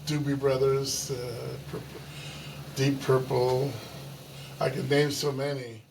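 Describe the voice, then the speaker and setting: An older male musician, hoarse from singing the night before, chatting playfully as a guest. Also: mid-range voice, little pitch movement, slow, background noise. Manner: playful, jokey, breaks into song. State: hoarse, losing his voice. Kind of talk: casual interview chat.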